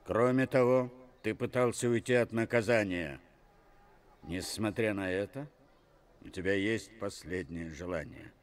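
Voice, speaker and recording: An actor playing a stern, commanding king in an animated film, speaking in a measured, imperious way. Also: lowish voice, close to an everyday voice. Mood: stern, accusing.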